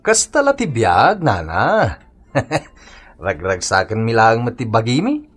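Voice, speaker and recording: Brash drunkard husband, wildly swooping pitch. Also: male voice, huge pitch swings, unhurried, a bit bright, breathy edge, faint music behind, full character voice. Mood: carefree, tipsy. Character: carefree, defiant drunkard husband and neglectful father. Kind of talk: Ilocano radio comedy drama acting.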